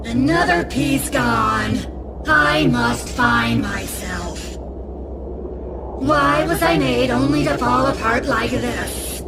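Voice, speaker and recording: Slow, eerie broken animatronic. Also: female voice, a little high, lively intonation, background noise, heavily acted. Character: broken female animatronic, eerie, vain, sorrowful and vengeful. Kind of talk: horror game voice acting. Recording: loud music behind the voice.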